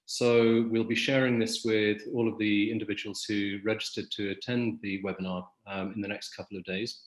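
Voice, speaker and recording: A man hosts a business webinar over a video call, presenting in a measured, articulate way. His voice sits at an ordinary middle pitch. The room gives it a noticeable echo. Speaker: webinar host.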